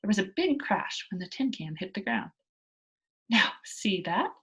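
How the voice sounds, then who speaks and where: A woman reading a children's storybook aloud as an expressive narrator. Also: mid-range voice, a little fast, husky. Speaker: storybook reader.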